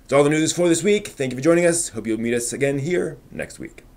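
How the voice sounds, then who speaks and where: A man presenting news as a live stream host in an informal way, with somewhat halting delivery. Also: on the high side, animated, darkish tone.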